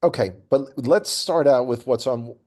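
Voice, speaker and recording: Mellow-voiced man giving opinionated commentary. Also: medium pitch, measured pace. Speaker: regular guest commentator on a news update programme. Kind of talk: conversational political commentary.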